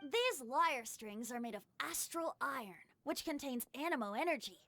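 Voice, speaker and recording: A woman as a high, boyish whimsical bard. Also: big pitch swings, moderate pace, a bit bright, dry recording, soft background music, exaggerated voice. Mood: breezy, explaining. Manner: theatrical, flowery, playful wording. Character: boyish, whimsical young male traveling bard. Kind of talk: anime video game voice acting.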